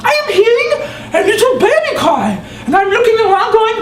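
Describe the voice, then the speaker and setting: A woman's voice: very high-pitched, sing-song, a little fast. A woman playing a doting old immigrant mother who speaks broken English and tells a rambling story. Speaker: comedic storyteller. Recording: echoey room with background noise.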